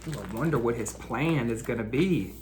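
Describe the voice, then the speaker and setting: A woman reading a picture book aloud to children as a teacher, in a deliberate, engaging storytelling style. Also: very deep voice, animated, a little mellow, roomy sound, a little hiss or hum. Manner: deliberate, engaging, asks listeners questions. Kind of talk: animated read-aloud storytelling.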